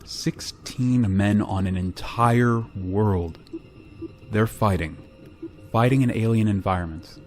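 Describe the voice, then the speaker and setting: A man playing a grave, reluctant spaceship pilot in a radio drama, speaking in a solemn, halting way. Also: on the low side, darkish tone, soft background music. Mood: somber, firm. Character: grave, reluctant male spaceship pilot bearing terrible news. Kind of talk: radio drama acting with narration.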